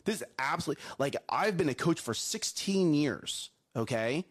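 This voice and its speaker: A man co-hosting a morning show, a coach with a loud voice, giving emphatic advice and often checking in with "okay?" and "right?". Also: a little high, expressive, moderate pace, breathy edge. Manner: emphatic, repeats himself, asks "okay?" and "right?". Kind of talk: casual chat, preachy life advice.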